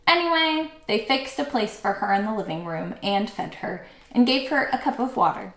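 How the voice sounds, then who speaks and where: A woman's voice: fairly high pitch, reverberant. A woman teacher reading a children's story aloud as narrator.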